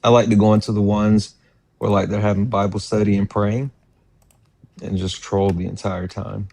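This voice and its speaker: A young man speaks offhand and flat on a livestream, with a low, gravelly voice. The sound is dry, with no room around it. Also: normal speed, no room sound. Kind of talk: casual chat.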